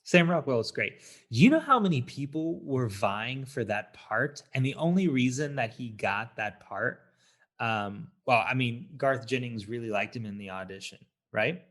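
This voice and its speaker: A man co-hosting a podcast, reviewing films in casual, halting talk built from short fragments. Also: mid-range voice, animated, fairly bright tone. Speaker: podcast co-host. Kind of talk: casual film review chat.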